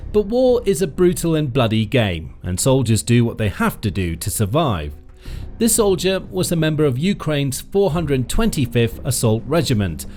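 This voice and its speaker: A man reading a scripted military news narration with dramatic, emphatic pauses. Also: mid-range voice, darkish tone. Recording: faint music bed behind the voice.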